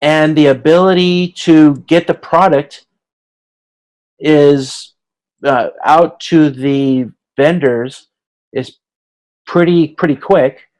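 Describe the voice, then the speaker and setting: A man giving an informal explanatory lecture as an instructor on a video conference, haltingly thinking aloud. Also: fairly high pitch, a little mellow, rough recording.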